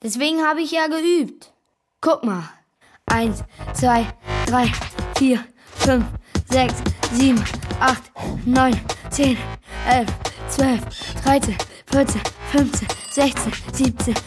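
A woman's voice: sits high, takes it slowly, music in the background. A woman playing an eager, cheeky young boy in a children's radio play. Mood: cheeky, confident.

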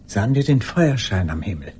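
An actress playing an old woman haunted by a lost love, speaking in a halting, intimate radio drama monologue. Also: very deep voice, very animated, dark tone, slight background noise. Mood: haunted, hushed. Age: older. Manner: halting, intimate, sometimes dropping to a whisper.

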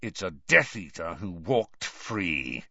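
A gruff old wizard professor, acted out by a male audiobook reader, muttering and growling in curt, wary bursts. The voice is husky and swings widely in pitch. It sounds dry, as in a storybook reading. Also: wildly swooping pitch, a little slow, sharp tone, dry recording, muffled recording, heavily acted. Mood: grim, guarded. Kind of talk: audiobook character voice.

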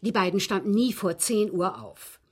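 An actress in a German radio drama playing a well-bred, abused woman confessing a poisoning to the police, phrasing deliberately and emphatically in a husky, breathy voice. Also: medium pitch, very animated. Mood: resigned, intense.

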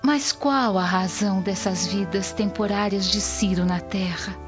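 Actress doing sad devout young brazilian woman in a radio play, earnest, medium pitch, music behind. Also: measured pace, lightly acted. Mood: sorrowful, questioning. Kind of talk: Brazilian radio drama acting. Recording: dull lo-fi audio under music.

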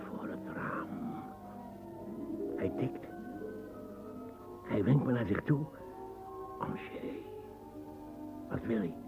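Creaky, paranoid old man. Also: on the low side, full character voice, rough recording. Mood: frightened, agitated. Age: older. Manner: stammering, muttering, breaking into whispers. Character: paranoid, agitated old man hoarding pistols. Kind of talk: radio drama acting.

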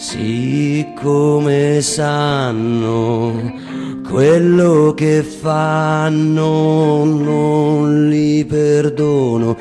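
A man's voice: fairly high pitch, steady tone, extremely slow. A man performing poetic verse as theatrical recitation and song. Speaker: stage performer and musician. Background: plucked guitar music.

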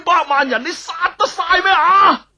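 A narrator voicing a condemned male swordsman, indignantly shouting desperate protests in Cantonese. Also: very high-pitched, a little slow, pitched above the performer's own voice, full character voice. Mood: desperate outrage.

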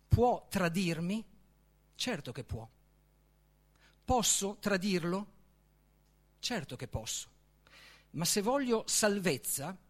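Male author-reader, high-pitched voice with lively intonation, theatrical and deliberate, for expressive literary prose. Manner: solemn, theatrical, deliberate phrasing with dramatic pauses. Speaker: author reading from their own book. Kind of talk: expressive literary reading aloud.

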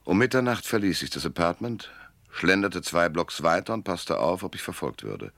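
An actor playing a world-weary, laconic private detective who narrates in a dry, sardonic, gravelly way in a German noir radio drama. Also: male voice, low voice, big pitch swings, normal speed, a bit bright, dry recording, exaggerated voice. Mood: brooding, measured.

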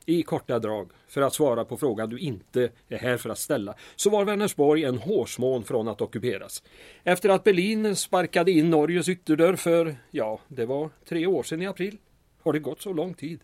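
An actor playing a guarded small-town mayor in an audio drama, formal and precise, with slight hesitations and a pedantic care for detail. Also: male voice, a little high, expressive, a little mellow, dry recording, natural voice. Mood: dry, recounting.

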